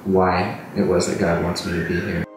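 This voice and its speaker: A man with a low, even-toned voice answers casually in an interview. He sounds distant in a very echoey space. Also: moderate pace, over loud music. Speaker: student interviewee. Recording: very echoey, distant mic, background noise.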